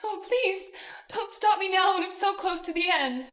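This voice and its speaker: A woman's voice: very high-pitched, lively intonation, moderate pace, a bit bright, reverberant. An actress playing an ambitious young businesswoman who argues with and pleads to her father. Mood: pleading. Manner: pleading, emotional. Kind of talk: melodrama acting.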